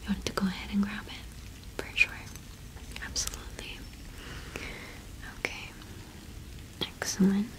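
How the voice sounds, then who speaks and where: Young woman whispering slowly, ASMR. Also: mid-range voice, completely flat delivery, rough recording. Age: young adult. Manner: gentle, unhurried whispering with pauses. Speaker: ASMR performer playing a cottage witch shopkeeper. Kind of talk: ASMR roleplay as a shopkeeper, whispered.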